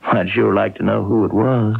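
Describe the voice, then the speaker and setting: An actor playing a simple-hearted, dejected rustic husband in a 1970s radio drama, with a folksy, emphatic manner. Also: male voice, lowish voice, fairly even intonation, moderate pace, creaky, breathy edge, heavily acted. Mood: wistful. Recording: narrow-band vintage radio recording.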